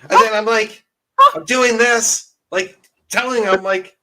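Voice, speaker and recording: A man's voice: high voice, steady tone, takes it slowly, recorded from a distance, background noise. A man telling a story with much laughter and animation as a guest in a casual stream chat, on a video call.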